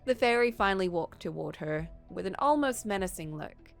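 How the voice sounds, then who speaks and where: A woman with a mid-pitched voice reads a story aloud as a streamer. Her narration is expressive, and music plays faintly behind her. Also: fairly quick, creaky.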